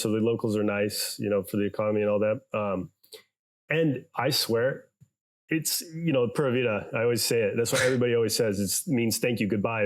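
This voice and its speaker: Man, relaxed, chatty, podcast guest over a call. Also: medium pitch. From United States, San Diego. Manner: laid-back, chatty, laughs often. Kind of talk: casual podcast chat.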